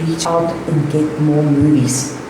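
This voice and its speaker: A woman's voice: low voice, steady tone, measured pace, recorded from a distance. A woman hosting a radio interview, encouraging her guest with many short affirmations. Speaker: radio host. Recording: echoey live feed with noise.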